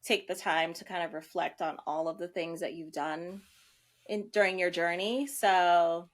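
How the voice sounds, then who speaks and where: A woman's voice: lowish voice, steady tone, unhurried. A woman educator and content creator, interviewed as a guest, answering in a reflective, hesitant way. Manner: reflective, hesitant, laughs easily.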